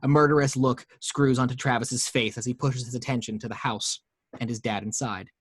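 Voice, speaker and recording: A man's voice: mid-range voice, fairly even intonation, brisk, no echo, clean studio sound. Man reading screenplay action lines.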